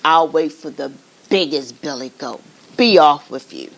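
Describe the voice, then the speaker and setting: A woman voicing a mean, greedy male troll in a read-aloud storybook, with a loud, gruff, menacing delivery. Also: lowish voice, very animated, unhurried, heavily acted, poor audio.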